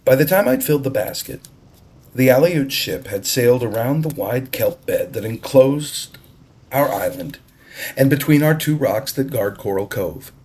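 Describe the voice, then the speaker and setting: Husky man reading a story aloud. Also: mid-range voice, lively intonation, moderate pace, darkish tone. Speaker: audiobook reader. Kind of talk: storybook narration, read aloud.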